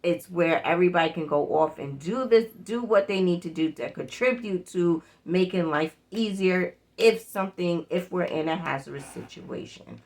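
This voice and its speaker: A woman's voice: on the low side, moderate pace, hardly any pauses, a little mellow. A middle-aged woman chatting casually as she hosts a demonstration video, repeating her points for emphasis. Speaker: prepper vlog host. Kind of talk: casual product demonstration chat.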